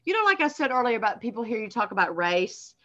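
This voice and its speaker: Woman, Southern accent, comedian guest, bright voice, profane and blunt, slightly dull sound. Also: on the high side, sing-song, fast. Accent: Southern American. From American South. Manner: blunt, profane, self-mocking, laughs often. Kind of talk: casual podcast interview.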